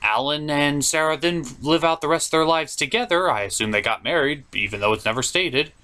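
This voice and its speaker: A man's voice: on the high side, brisk, pushed and bright. A man giving a comedic, scripted movie recap in a theatrical, sarcastic way. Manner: theatrical, sarcastic delivery with mild swearing. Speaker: host of a puppet movie review show.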